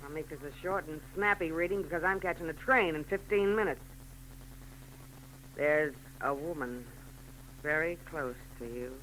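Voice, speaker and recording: An actress playing a scheming fortune-teller in a 1940s radio drama, taunting and snappy. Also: female voice, sits low, normal speed, pauses often, a bit bright, a little hiss or hum, rough recording. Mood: mocking. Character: scheming middle-aged woman fortune-teller villain.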